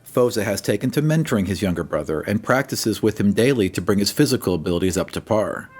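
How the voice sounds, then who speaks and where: A man's voice: on the low side, animated, fast talker. Man narrating over music. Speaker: Dungeon Master. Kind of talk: scripted narration, campaign introduction.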